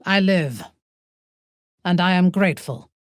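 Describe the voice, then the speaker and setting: Proud sardonic exiled warrior lady from a video game, very expressive swings, dry close recording. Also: on the low side, no echo. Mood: grave warmth. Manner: haughty, formal, deliberate phrasing. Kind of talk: video game character voice lines.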